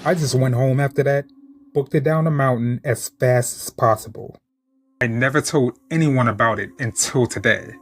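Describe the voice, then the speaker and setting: A man narrating true-encounter horror stories in a steady, measured reading voice. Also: mid-range voice, slightly rough. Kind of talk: scripted horror story narration.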